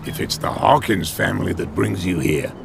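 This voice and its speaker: Gravelly harbour sea captain. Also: male voice, sits low, normal speed. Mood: hearty, advising. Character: gravelly old harbour captain, male, wistful and hospitable. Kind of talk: video game voice acting. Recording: noisy harbour ambience behind voice.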